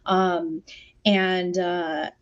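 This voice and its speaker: A woman's voice: fairly low pitch, level tone, drawn-out words. A woman chatting casually and enthusiastically on a panel, in an informal way, over a video call. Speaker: theater artist on a panel. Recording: dull, lo-fi online call audio.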